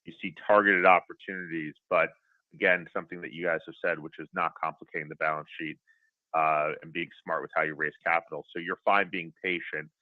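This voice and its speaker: A man's voice: deep voice, steady tone, brisk, no echo. A man speaking as a financial analyst on an earnings call, asking questions in an informal, direct, conversational way. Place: conference call. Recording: dry, tinny telephone line.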